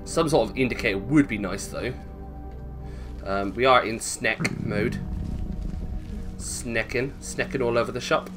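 A man's voice: medium pitch, measured pace, pauses often, music in the background. A British man giving casual gameplay commentary, thinking aloud, swearing and questioning himself as he goes. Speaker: gaming video host.

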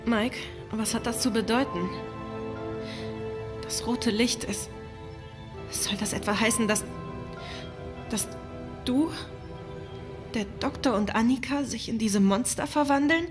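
A woman's voice: on the high side, slow, long pauses, over music. A woman playing a worried, emotional captain's wife in a sci-fi horror radio drama. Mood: anxious.

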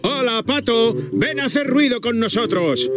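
A man's voice: very high-pitched, big pitch swings, moderate pace. A man with a Castilian Spanish accent narrating a preschool cartoon, talking playfully to the characters and expressively to small children. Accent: Castilian Spanish from Spain. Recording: children's music behind the voice.

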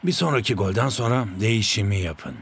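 A man voicing a scheming, controlled rival footballer in a cartoon, with a measured, conspiratorial manner. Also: fairly low pitch, animated, fairly bright tone, breathy edge, heavily acted. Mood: secretive. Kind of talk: dubbed cartoon dialogue.

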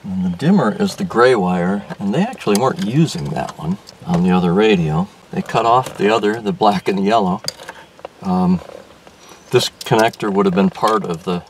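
An older man explaining a car radio install as he works, thinking aloud with frequent restarts and fillers, recorded inside a car in daylight. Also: low-pitched. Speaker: car restoration vlogger. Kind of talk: casual hands-on explanation. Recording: distant mic with faint background noise.

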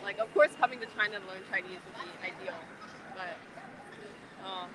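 A young woman from the United States chatting casually during a walking livestream, filled with likes and laughter. Her mid-range voice comes through a handheld phone, with clear street noise all around. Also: mid-range voice, normal speed. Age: young adult. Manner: chatty, laughs often, says like a lot. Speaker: livestream co-host. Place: busy city pedestrian street outdoors.

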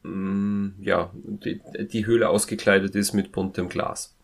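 A man in a casual podcast discussion, speaking haltingly as he searches for his words. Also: fairly low pitch, level tone, creaky.

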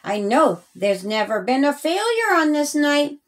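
A woman voicing a kindly, instructive Easter Bunny in measured storybook rhyme. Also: high-pitched, very animated. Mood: proud, explaining.

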